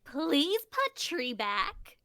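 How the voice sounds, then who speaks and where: A woman's voice: high voice, wildly swooping pitch, drawn-out words, pushed and bright, no room sound, studio quality, full character voice. A woman voicing a theatrical, gleeful and whiny anime youngster in a comic dub, with exclamatory delivery.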